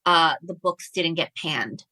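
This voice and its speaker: A woman's voice: deep voice, a little slow, bright, forceful tone, no echo, poor audio. A woman speaking as a guest author in a casual discussion about books.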